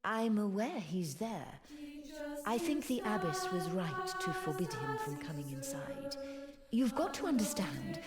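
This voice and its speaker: An actress voicing a devout, single-minded choir nun in a video game, earnest and prim in manner. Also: female voice, medium pitch, sing-song, unhurried. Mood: prim, disapproving. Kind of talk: voiced video game dialogue. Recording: long hall reverb with loud music.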